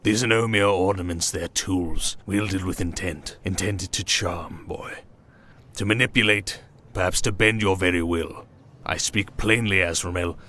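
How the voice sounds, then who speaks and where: A man playing a stern, scheming old king in a fantasy audio drama, speaking in pompous, formal, measured accusations. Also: deep voice, fairly even intonation, sharp tone. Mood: insinuating.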